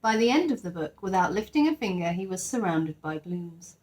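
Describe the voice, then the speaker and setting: A woman's voice: fairly low pitch, very animated, moderate pace, a little mellow. A woman narrating a children's story expressively, like a teacher reading aloud to her class.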